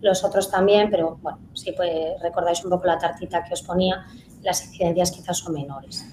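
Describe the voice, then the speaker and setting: Speech therapist, woman, lowish voice, hesitant, roomy echo. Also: fairly even intonation, a little fast, warm tone. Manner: hesitant, many fillers. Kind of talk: live conference Q&A. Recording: echoey room, faint noise.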